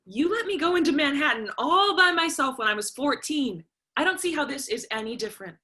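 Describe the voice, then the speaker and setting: Casting: actress as young student daughter, high-pitched and forceful, sharp-tongued, sarcastic, confrontational, for a dramatic play reading. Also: female voice, lively intonation, a little fast. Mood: demanding.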